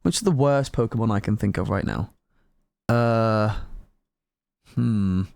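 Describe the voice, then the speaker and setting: A woman giving casual, reactive gameplay commentary in an informal, excitable way. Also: a bass voice, measured pace, creaky, dry recording. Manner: animated, reactive, giggly, informal with mild swearing. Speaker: gaming video host and player.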